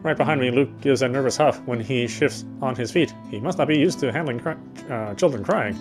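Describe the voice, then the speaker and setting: A man reading first-person visual novel narration quickly, with frequent slips and corrections. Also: medium pitch, music in the background.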